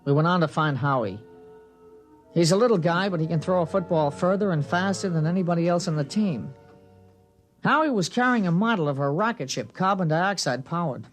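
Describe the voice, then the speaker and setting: A man's voice: on the high side, lively intonation, brisk, faint music behind, lightly acted. An actor playing an earnest, disapproving small-town boy who narrates in a 1950s radio drama. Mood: reflective. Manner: earnest, plain-spoken. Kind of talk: 1950s radio acting, first-person narration and dialogue. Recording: restored vintage radio recording.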